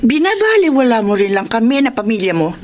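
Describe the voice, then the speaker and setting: Scolding high-pitched Filipina big sister. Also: female voice, sing-song, a little fast. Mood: resentful, accusing. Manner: scolding, sarcastic. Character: resentful, scolding older sister. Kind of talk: Tagalog radio drama acting.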